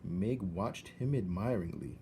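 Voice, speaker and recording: A man narrates a storybook in a fairly low voice. He takes it very slowly, with measured phrasing and dramatic pauses, over faint background noise. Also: lively intonation, gravelly, dark tone. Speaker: read-aloud narrator.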